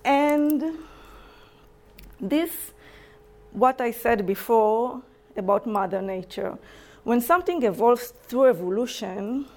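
Israeli woman lecturing in halting English. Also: a little high, darkish tone. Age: young adult. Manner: halting, self-correcting non-native English with restarts. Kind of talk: public lecture. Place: lecture room.

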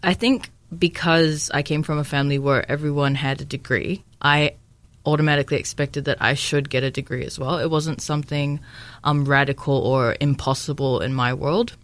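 A woman's voice: low voice, fairly even intonation, moderate pace. A woman in her twenties from Samoa, a medical student interviewed as a guest, thoughtful and hesitant with many ums. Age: 20s. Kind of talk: casual interview.

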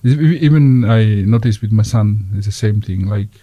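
Man, Fijian accent, husky, dry close sound, radio host, hesitant with fillers. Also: lowish voice, dark tone, no echo. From Fiji. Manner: halting, many fillers, drops into Fijian phrases. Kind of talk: community radio chat.

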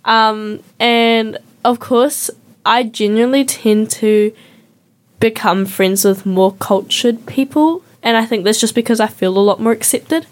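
A woman's voice: a little high, unhurried. Chatty young New Zealand woman host. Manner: chatty storyteller, lots of likes and ums. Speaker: youth radio host. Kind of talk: casual chat.

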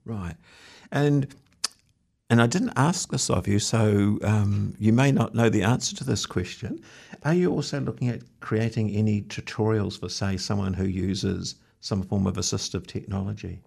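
A man's voice: on the low side, normal speed, gravelly, a little mellow, husky. A man hosting a show and asking his guest questions in a casual, conversational way. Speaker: radio or podcast interviewer.

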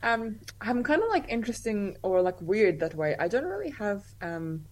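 A woman's voice: medium pitch, big pitch swings, moderate pace, darkish tone. A young woman, a guest chatting casually in an interview, full of "like" fillers and easy laughter. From Russia. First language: Russian.

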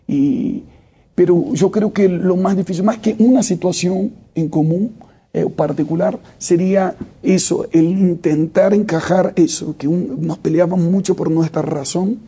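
Guy in his 30s, gravelly dark voice, thinks out loud with lots of uhs. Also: a little high. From Brazil. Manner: reflective, with frequent hesitations. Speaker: worship leader and singer. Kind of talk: interview answers.

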